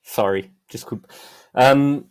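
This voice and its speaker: Slow, halting man with a Quebec French accent. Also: medium pitch, clear, resonant tone. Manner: hesitant, halting second-language speech with many fillers. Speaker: podcast guest. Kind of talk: casual chat.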